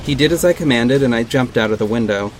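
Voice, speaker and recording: A man's voice: medium pitch, fast talker, a crowd in the background, close to an everyday voice, low-quality recording. A man playing a confident vigilante detective who narrates his own story in an earnest, dramatic audio drama style. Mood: tense, dramatic. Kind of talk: first-person audio drama narration with acted dialogue.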